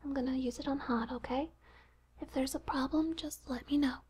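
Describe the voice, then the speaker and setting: Whispering ASMR woman, soft and gentle. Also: sits high, fairly even intonation, a little fast. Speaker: ASMR host playing a hairdresser. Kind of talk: whispered ASMR haircut role play. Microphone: binaural microphones. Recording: faint noise, clicks, close binaural mics.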